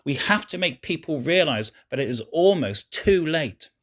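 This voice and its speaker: Guy doing a storybook science dad, worried n earnest, explaining stuff urgently, lots of pitch movement. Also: animated, normal speed, fairly bright tone. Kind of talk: storybook character reading.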